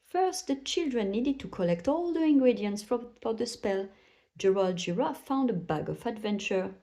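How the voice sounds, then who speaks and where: A woman's voice: fairly high pitch, lively intonation, normal speed, warm tone. A woman narrating a children's bedtime story aloud, telling it expressively for young listeners.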